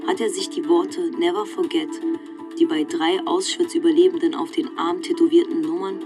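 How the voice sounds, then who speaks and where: A woman's voice: lowish voice, steady tone, normal speed, music in the background, close to an everyday voice. A woman reading scripted, documentary-style radio-play narration in a factual, report-like manner.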